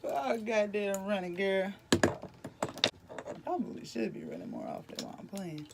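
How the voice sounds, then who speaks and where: A woman in her late twenties with a raspy voice, chatting candidly to her viewers in a casual morning vlog. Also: medium pitch, animated, unhurried, heavy background noise. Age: late 20s. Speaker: vlogger. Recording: music playing behind.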